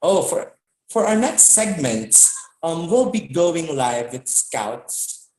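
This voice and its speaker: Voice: medium pitch, sing-song, slow, mellow. A show host reading links and handing over to the next segment. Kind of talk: live show hosting. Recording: echoey room.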